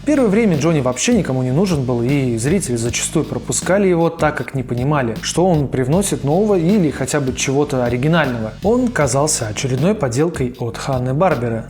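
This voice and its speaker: A man's voice: medium pitch, a little mellow. A young man narrating a video essay in a conversational, slangy and opinionated way. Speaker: host of an animation review channel. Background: background music.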